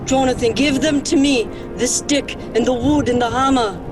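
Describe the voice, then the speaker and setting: An actress playing a desperate young bride under a vampire's spell in a horror radio drama, intense and pleading. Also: female voice, high voice, moderate pace, over music, lightly acted. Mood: desperate, demanding.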